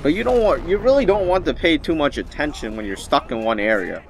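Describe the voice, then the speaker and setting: A man's voice: a little high, lively intonation, fairly quick, a little hiss or hum. A man giving casual, rambling gameplay commentary as the host of a gaming video.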